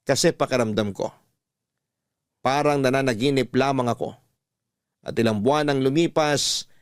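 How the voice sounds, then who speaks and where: A man narrating a love story in the first person on radio, reading it in an emotional, earnest way. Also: medium pitch. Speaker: letter reader and narrator.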